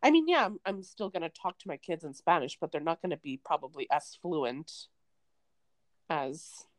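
A young woman with a Canadian accent talks in a loose, rambling way, full of likes and you-knows. Her voice sits a little low, and the recording is dry and close. She sounds like a podcast co-host chatting with a friend. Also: animated, moderate pace, no echo. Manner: chatty, rambling with many fillers. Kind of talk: casual chat. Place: remote, away from co-host.

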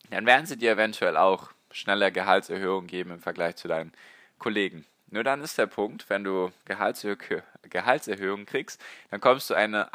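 Man, low voice, bright tone, slightly hoarse, rambling podcast host, dry close sound. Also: dry recording. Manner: rambling, conversational, addresses listener directly. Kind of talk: casual solo podcast on personal finance.

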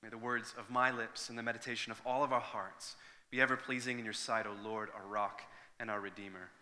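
Pastor preaching in a reverberant hall. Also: male voice, fairly low pitch, steady tone, a little fast, sharp tone. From Northern Ireland. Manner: earnest, conversational, with frequent restarts and fillers. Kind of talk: sermon with prayer and personal story.

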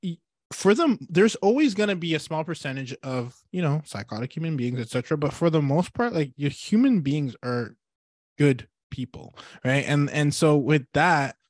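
A man hosting a podcast interview in a casual, rambling way, thinking out loud with many fillers. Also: fairly high pitch, expressive, normal speed, no echo, studio quality. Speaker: podcast host.

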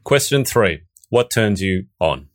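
A man's voice: on the low side, very animated, slow pace. A man with an Australian accent hosting an interview, asking questions casually and slipping in dry, teasing asides with stammered restarts. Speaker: podcast host.

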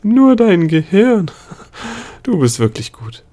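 A man's voice: mid-range voice, animated, moderate pace, creaky, a little mellow. A man doing a cackling, ranting gnome. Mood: mocking. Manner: cackling, lecturing, worked up into a rage. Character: excitable male gnome with color-changing scales.

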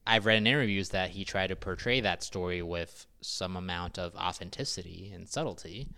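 A skeptical man pushing back in a casual film podcast, dry and measured as he talks. His voice is fairly low and smooth, with a clean, resonant tone. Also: sharp tone. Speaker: podcast co-host.

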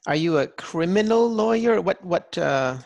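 A man's voice: high voice, lively intonation, a little slow, gravelly, a little mellow, lo-fi sound. A man interviewing someone in a casual, anecdotal way, with an enthusiastic, folksy and informal manner.

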